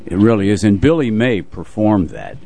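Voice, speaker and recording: Deep-voiced older man reminiscing on radio. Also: sing-song, normal speed, creaky, dark tone. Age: 70s. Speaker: radio show co-host, veteran broadcaster. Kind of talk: unscripted reminiscing on a radio talk show.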